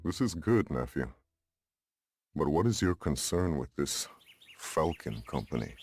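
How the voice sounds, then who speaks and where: An actor playing a dignified older Lakota uncle who leads his band, speaking gravely and deliberately in a video game cutscene. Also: rumbling low, sing-song, a little mellow, soft background music, heavily acted. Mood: solemn.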